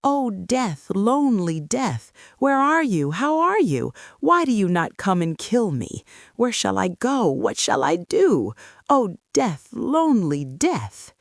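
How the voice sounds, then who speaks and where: A narrator voicing a despairing poor peasant man who laments and pleads in drawn-out cries, in a storybook reading. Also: medium pitch, big pitch swings, creaky, no echo, clean studio sound, voice pushed up, heavily acted. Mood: anguished lament.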